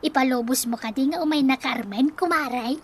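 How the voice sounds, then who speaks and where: A woman's voice: sits high, brisk, a little hiss or hum, natural voice. A woman acting a brash, scheming mother who wheedles and teases in an Ilocano-accented Filipino comedy radio drama. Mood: coaxing, gleeful. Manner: wheedling, teasing. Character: brash scheming mother sweet-talking her creditors.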